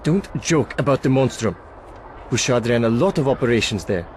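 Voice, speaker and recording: A man's voice: medium pitch, animated, normal speed, slight background noise, close to an everyday voice. An actor playing a shady, guarded barman informant in a video game, with a wary, shifty way of talking. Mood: wary, bargaining. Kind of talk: video game cutscene acting.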